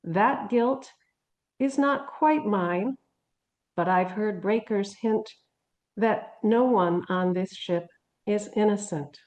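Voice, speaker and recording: A woman poet introducing and reading her own verse aloud with a measured, deliberate delivery. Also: mid-range voice, a little mellow.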